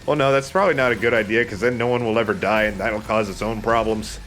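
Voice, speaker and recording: A man giving casual, joking let's play commentary, wry and quick to swear. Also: lowish voice, steady tone, fairly bright tone, faint music behind.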